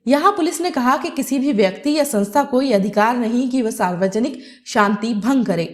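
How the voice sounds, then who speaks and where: A woman's voice: a little high, animated, normal speed. A woman narrating a scripted news report as a news presenter.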